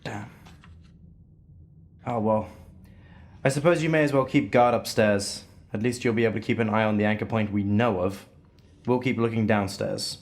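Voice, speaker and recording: An actor in an audio drama playing a commanding, wry leader of secret investigators who is gruffly kind, speaking in a measured, authoritative way. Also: male voice, on the low side. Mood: brisk, commanding.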